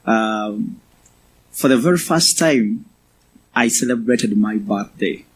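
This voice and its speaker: A man in his twenties with a Ugandan accent, giving a personal testimony as a guest speaker to a church, in a measured, halting way. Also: lowish voice, animated, a little hiss or hum, poor audio. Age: 20s.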